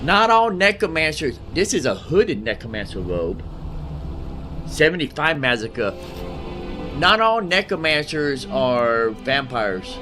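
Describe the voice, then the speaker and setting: A man's voice: on the high side, sing-song, slow. Man musing aloud, music behind. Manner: repeats himself, musing aloud. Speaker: game streamer. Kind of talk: casual live gameplay commentary.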